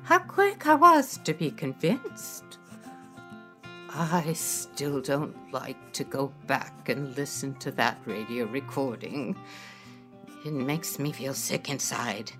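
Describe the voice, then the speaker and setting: A woman's voice: a bass voice, very animated, slow, music in the background, exaggerated voice. An actress playing a wistful woman narrating her memories in a radio drama. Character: woman reminiscing wistfully about a frightening radio night.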